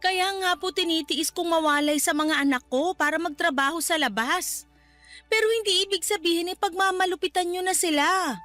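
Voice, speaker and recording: A woman's voice: extremely high pitch, normal speed, sharp tone, dry recording, soft background music. A woman playing a young Filipino widowed mother in a radio drama, respectful but firm as she defends her children. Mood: pleading, defensive.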